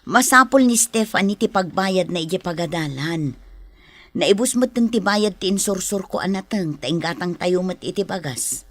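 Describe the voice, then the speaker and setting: A woman's voice: lowish voice, animated, normal speed, no room sound. An actress playing an exasperated farm wife and mother in a Philippine radio drama, scolding and pleading with her husband. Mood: worried, insistent.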